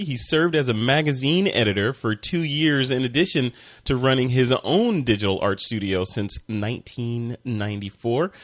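A man hosting a photography podcast, reading a sponsor spot and interviewing a guest in a relaxed, chatty way with many fillers and restarts. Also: medium pitch. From Chicago. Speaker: podcast host. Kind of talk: casual interview and ad read.

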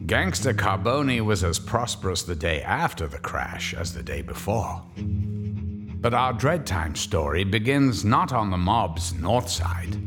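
A man narrates a radio drama with a low, expressive voice that rises and falls a lot. His cadence is wry and deliberate. Music plays clearly under him.

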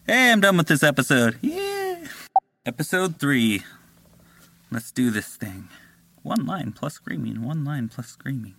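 A man's voice: fairly high pitch, big pitch swings, unhurried, vocal fry, fairly bright tone. A male voice actor muttering to himself and chuckling between recording takes. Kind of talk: recording-session outtakes.

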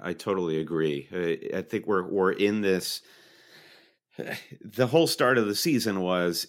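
A man with a very deep voice talks through fantasy football in relaxed conversation. He stumbles and restarts his sentences as he agrees with his co-host. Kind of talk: casual fantasy football chat.

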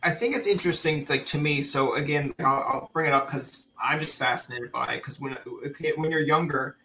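A man's voice: fairly high pitch, a little fast, bright, forceful tone, slight background noise, low-quality recording. A man from Newfoundland hosting a casual interview, chatty and rambling with frequent restarts. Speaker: podcast host.

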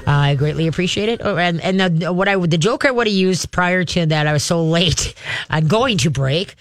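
A woman's voice: fairly low pitch, lively intonation, moderate pace, no room sound. A woman hosting a call-in radio show about dog training, chatty and upbeat, slipping into cheerful sing-song voices. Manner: chatty, upbeat, acts out cheerful baby-talk to dogs.

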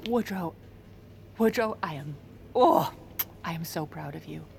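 A woman's voice: deep voice, lively intonation, a little slow, gravelly, darkish tone, a little hiss or hum, full character voice. A woman playing a proud, sardonic American First Lady of the 1910s in an audio drama, with a dry, biting, theatrical manner. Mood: proud, relieved. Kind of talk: audio drama acting with wry narration.

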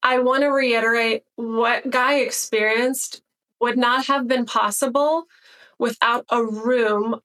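A woman co-host adding a measured, deliberate comment in a talk. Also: fairly high pitch, fairly bright tone, rough recording.

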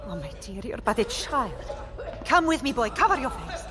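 A woman's voice: a little high, big pitch swings, moderate pace, a crowd in the background. A woman playing a young wartime nurse in an audio drama, tender and concerned. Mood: tender, sorrowful. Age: young adult. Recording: violin music behind the voice.

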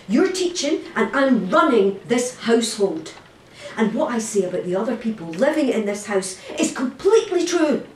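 A woman's voice: medium pitch, a little mellow, natural voice. An actress playing an exasperated, outspoken mother in an audio play, with a blunt, insistent manner. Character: exasperated, outspoken mother running a crowded household. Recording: echoey, distant, faint noise.

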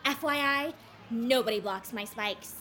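A woman's voice: a little high, a little slow, pushed and bright. An actress playing a brash, sporty, sarcastic teenage girl in an audio drama. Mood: teasing, bragging. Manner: sarcastic, boastful.

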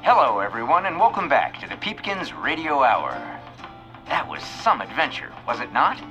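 A man's voice: medium pitch, big pitch swings, normal speed, sharp tone, faint music behind, rough recording. A man playing a showy, old-time radio announcer with a theatrical salesman's delivery. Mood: showy, suspenseful. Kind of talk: 1950s-style radio announcing.

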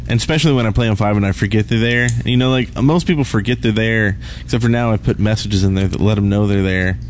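A man's voice: fairly low pitch, fast. A man co-hosting a casual podcast, recorded on an indoor convention floor. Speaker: podcast co-host. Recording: background noise of a busy convention floor. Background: convention ambience and noise.

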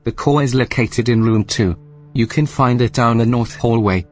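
An actor playing a composed, snide artificial intelligence running a station in a sci-fi audio drama, speaking in a precise, formal, deadpan way. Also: male voice, mid-range voice, normal speed, faint music behind, natural voice. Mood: matter-of-fact. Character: dry, snide male AI station supervisor, composed.

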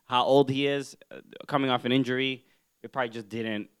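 A man co-hosting a podcast, talking in a relaxed, hesitant way with frequent restarts. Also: medium pitch, a little fast, clear, resonant tone. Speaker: podcast co-host. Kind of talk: casual chat.

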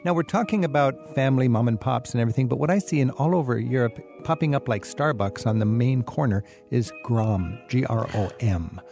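A man's voice: mid-range voice, animated, brisk, gravelly, hardly any pauses, darkish tone, faint music behind. A man hosting a radio travel talk show, curious and conversational as he questions his guests. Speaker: radio host.

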